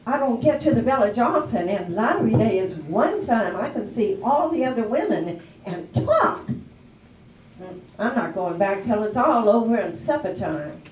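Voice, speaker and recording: A woman's voice: on the high side, very animated, normal speed, a little hiss or hum. A woman playing a stubborn, practical farm wife in an amateur stage reading, emphatic and scolding in a homespun way. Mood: chiding, stubborn. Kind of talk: amateur readers theater stage acting. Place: live play in a public library room. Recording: echoey room, distant mic.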